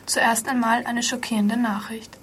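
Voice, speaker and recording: A woman's voice: a little high, level tone, a little slow, slightly rough. A young schoolgirl playing a formal female TV news reader in an amateur radio play. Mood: formal, grave. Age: child pupil.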